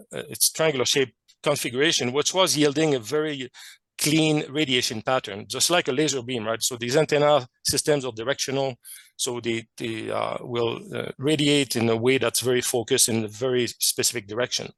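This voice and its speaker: A man's voice: medium pitch, creaky, a bit bright, no room sound. A man from Quebec with a French Canadian accent, French as his first language, giving a technical presentation over a video call with many fillers and restarts.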